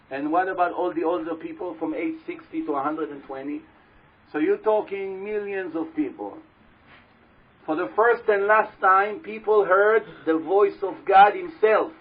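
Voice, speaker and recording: A man with a loud, slightly husky voice, pitched a little high, gives a religious talk to a live audience. He tells a long story and keeps putting questions to his listeners. The recording is dull and lo-fi, with the voice taken through a microphone at a live event. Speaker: religious teacher speaking to an audience. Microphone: handheld or stand microphone.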